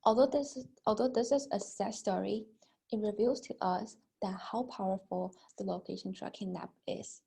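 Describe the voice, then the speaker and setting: A woman's voice: mid-range voice, normal speed, gravelly, mellow, breathy edge. A young woman delivering a rehearsed persuasive speech, stressing her openers and sometimes repeating a phrase as she goes. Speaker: student speaker.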